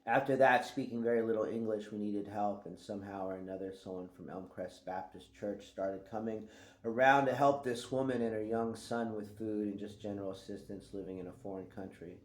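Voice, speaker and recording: A middle-aged man from Thailand, reading his own book aloud in a conversational way and letting his voice drop quietly at the ends of phrases. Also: lowish voice, fairly even intonation, a little fast, roomy sound. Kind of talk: reflective audiobook-style reading.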